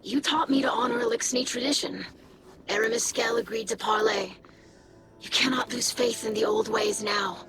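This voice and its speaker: A woman's voice: fairly high pitch, normal speed, natural voice, low-quality recording. A woman playing an idealistic young alien scribe in a video game, earnest, formal and politely diplomatic. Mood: hopeful. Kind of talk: dramatic video game cutscene acting. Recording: loud music under the voice.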